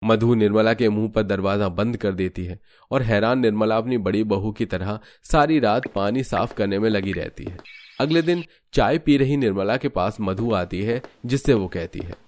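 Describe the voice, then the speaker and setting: A man narrating an animated moral story in a scripted storytelling style. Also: fairly low pitch, normal speed, dry recording.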